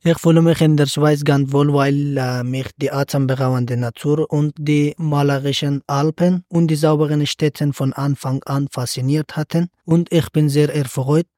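Earnest young man, mellow voice. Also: a little high, fairly even intonation, normal speed, few pauses, dry recording, studio quality. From Afghanistan. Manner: earnest, reads prepared sentences with some fillers. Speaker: student guest. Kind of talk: school podcast interview.

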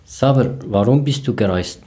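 A man in his thirties from Syria, a podcast guest, speaking carefully and deliberately with some fillers. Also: medium pitch, dark tone. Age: 30s. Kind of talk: casual podcast interview.

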